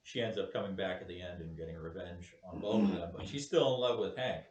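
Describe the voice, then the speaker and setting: A man with a fairly low voice leads a table read, explaining the script and reading stage directions. He is recorded at a distance from the mic, with plenty of room echo. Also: fast, dull audio. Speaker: filmmaker leading a table read. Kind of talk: casual project briefing, then reading screen directions aloud. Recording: echoey room, distant mic, loud noise.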